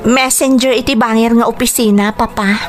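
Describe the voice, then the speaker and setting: A woman plays an earnest young Filipino daughter in a radio drama. Her voice sits a little high, respectful and confessional, in an Ilocano accent, with music underneath. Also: fairly even intonation, moderate pace, lightly acted. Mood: earnest, resolute. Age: young adult. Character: earnest, confessing grown daughter, pregnant professional.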